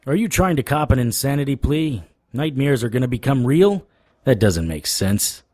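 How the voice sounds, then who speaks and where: An actor playing a wry, tough supernatural private investigator in a noir audio drama, with a deadpan, sardonic delivery. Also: male voice, mid-range voice, normal speed, no echo, clean studio sound, close to an everyday voice. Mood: incredulous.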